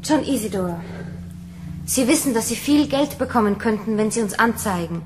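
Actress as composed, high-voiced female android. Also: expressive, moderate pace, noisy background, natural voice. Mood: persuasive. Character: calm, persuasive female fugitive android. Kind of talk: radio drama acting.

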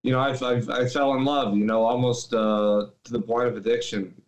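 A young man from Greece, a guest on a podcast, talking in a relaxed way with lots of fillers over a video call. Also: mid-range voice, normal speed, echoey room, highs cut off. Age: young adult. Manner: relaxed, many fillers and restarts. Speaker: guest athlete. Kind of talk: casual interview chat. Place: small room at home.

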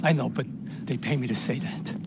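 An actor playing a weary, dutiful fixer with clipped, non-native phrasing in a video game cutscene. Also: male voice, medium pitch, fairly even intonation, fairly quick, vocal fry, background noise, close to an everyday voice. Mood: resigned, worried. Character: weary, dutiful male fixer for a rich boss.

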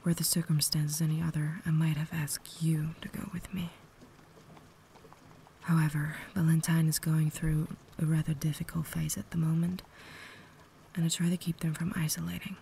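A woman playing a cultured, caring vampire lady in an intimate ASMR roleplay, whispering softly with refined, gentle phrasing. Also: sits low, level tone, moderate pace. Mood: gentle, reassuring. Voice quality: soft, breathy whisper. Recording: noticeable background ambience noise.

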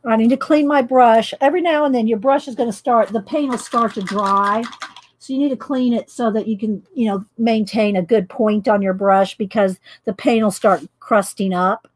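A woman, chatting loudly while she paints and tells stories on her coloring livestream, laughing often and answering viewers. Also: fairly high pitch, steady tone, moderate pace. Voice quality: loud voice. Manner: chatty storyteller, laughs often, reads live chat. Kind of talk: casual chat while painting. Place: home craft table, indoors.

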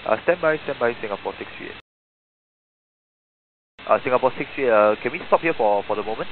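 A man's voice: mid-range voice, lively intonation, brisk, narrow, tinny audio. A man working as an airline pilot, giving polite, procedural readbacks over aviation radio and often repeating phrases. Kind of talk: radio readbacks to air traffic control. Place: aircraft radio transmission. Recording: thin cockpit radio with clear hiss.

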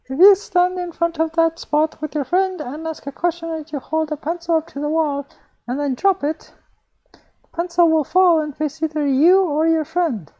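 A man voicing a chatty young female art student in a thin falsetto, reading a visual novel aloud. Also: moderate pace, warm tone, dull audio. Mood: explaining.

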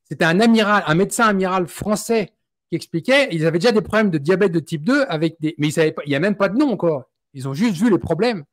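Indignant French man, fairly high voice. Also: animated, fast talker. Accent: French (France). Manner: indignant, emphatic, outspoken. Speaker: host. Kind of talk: interview, passionate opinion. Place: small indoor room. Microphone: studio mic on stand.

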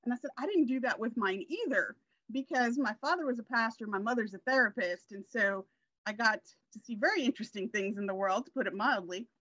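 A woman in her forties talks in a bright, forceful, fairly high voice. She tells personal stories casually, and the call audio is a little dull. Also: fairly quick, no echo. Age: 40s. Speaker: guest sharing her success story. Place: indoor room, video call.

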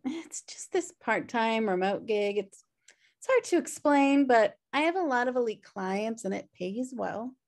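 A woman's voice: fairly high pitch, expressive, unhurried, darkish tone. A woman playing a blunt, sarcastic, slobbish sister in a comedy table read. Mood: evasive. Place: indoor room on a video call. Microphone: video call.

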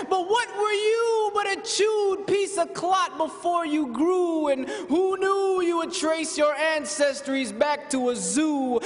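A man in his thirties performing rhythmic, rhyming spoken word poetry at a podium on a large conference stage, moving between soft lines and shouts. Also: very high voice. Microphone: podium microphone. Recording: hall reverb.

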